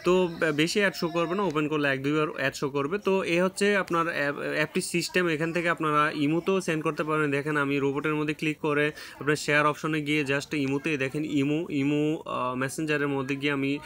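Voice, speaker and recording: A man's voice: on the high side, fairly even intonation, brisk, a bit bright. A man with a Bangladeshi Bengali accent hosting a casual screen-recorded app tutorial, filling his talk with many "uh"s and restarts. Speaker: tech tutorial host.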